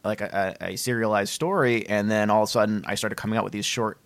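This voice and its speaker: A man's voice: fairly low pitch, steady tone, fast, no echo. A man co-hosting a podcast interview in a casual, affable and rambling conversational way.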